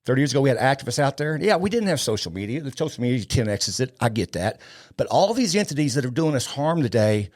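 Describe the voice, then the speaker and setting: A man in his fifties talks with conviction as a podcast guest. He is blunt and emphatic, hammering points home by repeating his phrases, at an ordinary male pitch. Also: sing-song, quick pace, a little mellow. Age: 50s. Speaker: podcast guest, law enforcement trainer. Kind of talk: impassioned interview talk.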